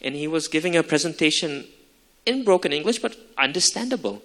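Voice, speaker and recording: A man who works with schoolchildren, telling a story in an animated way on a forum panel. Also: a little high, a little fast, in a large hall. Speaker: youth worker visiting schools. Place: conference hall.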